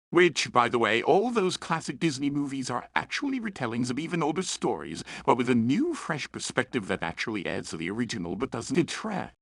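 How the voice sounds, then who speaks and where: A man narrating a scripted review in an opinionated, emphatic way. His pitch moves around a lot and drops into noticeable fry. The recording is close and dry. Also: a bit bright, no room sound. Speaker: story reviewer.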